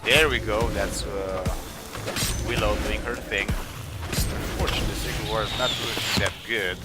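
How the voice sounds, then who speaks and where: A man's voice: fairly low pitch, fairly even intonation, a little slow. A man giving casual let's play commentary, hesitant and thinking aloud as he goes, with music behind him. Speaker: gaming video host. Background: game music.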